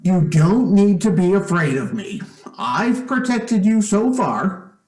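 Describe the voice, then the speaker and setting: Man acting a slow, high-voiced, probing stepfather. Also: expressive, darkish tone, echoey room, poor audio. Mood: genial, probing. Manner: deliberate, probing, hesitant phrasing. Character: shrewd stepfather, probing, outwardly genial, quietly menacing. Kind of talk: old-time radio suspense drama acting.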